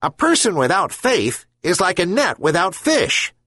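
A man voicing a wise talking herring gull in a storybook, speaking slowly and solemnly. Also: high voice, big pitch swings, fairly bright tone, breathy edge, no echo, voice pushed up, full character voice. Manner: slow, solemn counsel.

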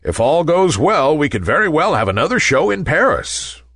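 A man's voice: a little high, very animated, normal speed, a bit bright, no echo, studio quality, pitched above the performer's own voice, exaggerated voice. A man voicing a sophisticated, self-assured male theatre manager in a storybook. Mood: pleased.

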